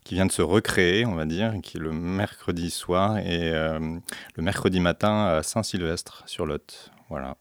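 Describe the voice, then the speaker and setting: A man with a very low voice answers questions on a local radio show. He hesitates a lot, restarting sentences and stringing fillers together. The studio sound is dry and close. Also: moderate pace, clean tone, no echo. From central France, Orléans area. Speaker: guest, beekeeper and deacon. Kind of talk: radio interview.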